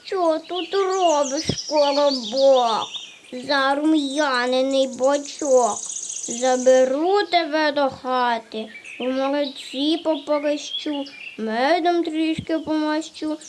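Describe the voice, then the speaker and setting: A woman voicing a big hungry bear in a cartoon, speaking low, slow and threatening, with birds chirping behind. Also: background noise. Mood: menacing.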